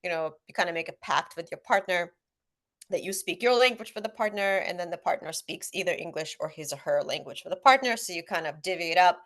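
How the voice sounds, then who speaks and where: A woman whose first language is Russian talks through her ideas in an easy panel chat. Her voice sits a little low for a woman. She explains things earnestly and often stops to restart a phrase or add an um. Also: fast talker, a bit bright. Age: adult parent. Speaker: guest author on a parenting panel. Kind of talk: casual panel discussion.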